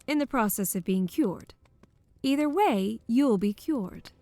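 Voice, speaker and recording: A woman playing a brisk, teasing lady scientist, poised and matter-of-fact, in a scripted audio roleplay. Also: on the high side, animated, mellow, dry recording, soft background music.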